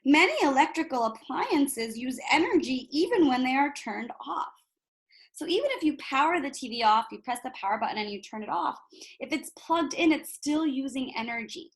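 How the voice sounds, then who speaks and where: A woman with a high voice reads a picture book aloud for young children. Her delivery is expressive and instructive, stepping out of the text to explain things and put little questions to her listeners. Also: moderate pace.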